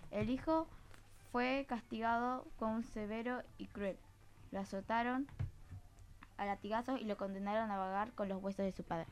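A woman's voice: fairly high pitch, moderate pace. Venezuelan schoolgirl reading a legend. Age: child, about 10. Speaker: student storyteller.

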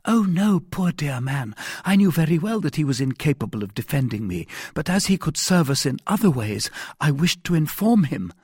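An anxious young woman from an audiobook, acted out by a man, pleading and earnest in formal, old-fashioned phrases. The voice is lifted high and slightly breathy-rough, with swooping intonation and a dry, close sound. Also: sing-song, moderate pace, few pauses, a little mellow, no echo. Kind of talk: audiobook character voice.

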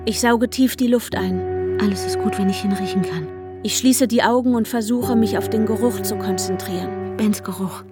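A woman's voice: mid-range voice, normal speed, lightly acted. An actress playing an anxious, panic-prone woman narrating her inner turmoil in a German radio drama, often dropping into whispers. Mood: drowsy, soothed. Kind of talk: German radio drama, intimate first-person inner monologue. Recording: voice over prominent music.

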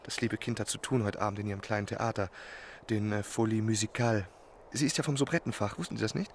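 An actor in a German radio drama playing a lovesick, spoiled young French marquis who talks in an affected, confiding way. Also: male voice, lowish voice, fairly even intonation, fast, slight background noise, lightly acted. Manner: affected, florid, confiding speech.

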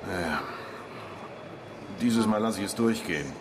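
A man's voice: lowish voice, lively intonation, measured pace, background noise. An actor in a German radio drama playing a curt, suspicious airport policeman with a stern, official manner.

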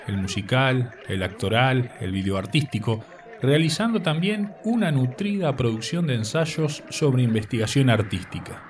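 A man from Uruguay, reading a prepared radio text as the presenter in a measured way. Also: medium pitch, recorded from a distance. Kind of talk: scripted radio narration.

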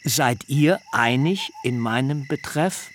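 A man's voice: medium pitch, lively intonation, slow pace, slight background noise. An actor playing Jacob, a husband who wryly gives in to his wives in a radio play. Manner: wry, amused. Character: Jacob, husband, wry and yielding.

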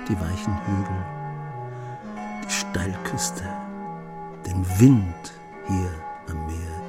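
A reflective writer who narrates a radio drama. His voice is fairly low and very slow, measured throughout. Music plays behind him. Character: reflective male writer telling a thief's adventures. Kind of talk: scripted narration.

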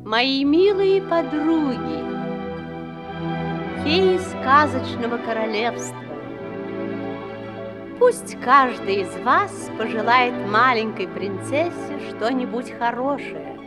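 A woman playing a gracious, reassuring good fairy in a fairy-tale audio play, solemn and measured. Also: a little high, expressive, over loud music.

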